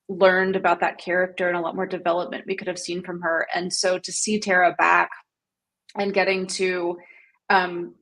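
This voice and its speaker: A woman's voice: medium pitch, a bit bright. A woman speaking as a panelist in a casual discussion, reflective and articulate, in long full sentences.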